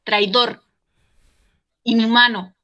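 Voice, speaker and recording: A woman's voice: a little high, steady tone, slow pace, bright, forceful tone, muffled recording. A woman in a radio drama playing a vengeful former student, accusing and declaiming dramatically. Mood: accusing, threatening. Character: vengeful young woman, former student turned armed caller. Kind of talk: Spanish-language radio drama acting.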